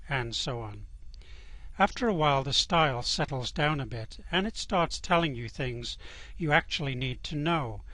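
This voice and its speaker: A man playing the calm, drily amused voice of a galactic guidebook, narrating a comic radio play in a measured, deadpan way. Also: a little high, no echo.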